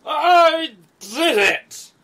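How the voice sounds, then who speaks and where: A man's voice: very high-pitched, expressive, extremely slow, pushed and bright, slight background noise, heavily acted. A man playing a drunken, boastful, scruffy man in his fifties in a fantasy audio drama, bellowing in a slurred drawl. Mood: drunken triumph.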